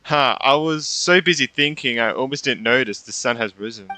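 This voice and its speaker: Bright-voiced young man reacting to a game. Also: medium pitch, moderate pace. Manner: reactive, slangy, thinking aloud. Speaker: gamer playing through a story quest. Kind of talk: casual let's play commentary.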